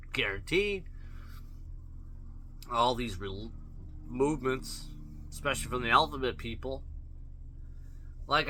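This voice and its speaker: Sharp-voiced man ranting bluntly. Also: medium pitch. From Canada. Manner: blunt, profane, rambling rhetorical questions. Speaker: vlogger. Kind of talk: opinion rant to camera.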